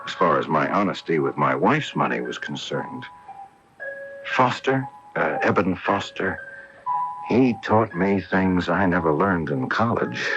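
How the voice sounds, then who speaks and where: Deep-voiced defensive widower, radio drama. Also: male voice, lively intonation, unhurried. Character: defensive widowed husband, plain-spoken investor. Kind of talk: 1980s radio drama acting. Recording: dull AM radio recording with music.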